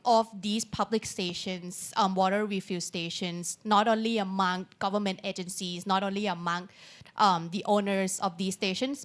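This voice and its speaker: A woman panel speaker giving an unscripted answer in English as a second language, pausing often to search for words. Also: mid-range voice, a bit bright. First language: not English.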